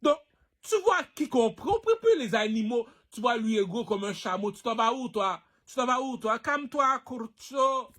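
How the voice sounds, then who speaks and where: This is a man with a notably high voice for his sex. He speaks like an online personality holding forth to his followers in loose slang. He boasts and provokes, and keeps hammering the same catchphrases as he rambles. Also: lively intonation, a little fast, hardly any pauses, a bit bright. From Haiti. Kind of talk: rambling, slangy monologue to camera.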